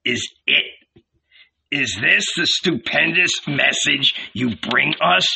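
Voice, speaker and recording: A man's voice: fairly high pitch, expressive, takes it slowly, gravelly, pushed and bright, slightly rough, voice pushed up, exaggerated voice. A man doing a sarcastic grumbling rebel. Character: bitter, red-bearded male rebel, grumbling and sarcastic.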